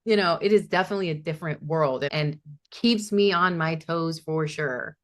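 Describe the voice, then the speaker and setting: A woman with a notably low-pitched voice, talking as a guest therapist on a podcast. She sounds earnest and enthusiastic, often restarting her phrases mid-thought. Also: moderate pace. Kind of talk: conversational interview.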